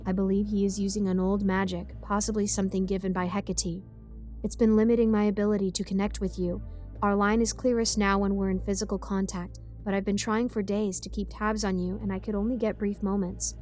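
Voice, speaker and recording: A woman's voice: medium pitch, level tone, a little fast, darkish tone, soft background music, natural voice. A woman voicing a small male toy guardian, grave and earnest, in an audio story.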